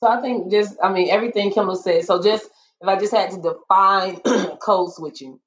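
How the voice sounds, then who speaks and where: Woman panelist, deep voice, earnest and assertive. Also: moderate pace. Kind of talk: casual discussion.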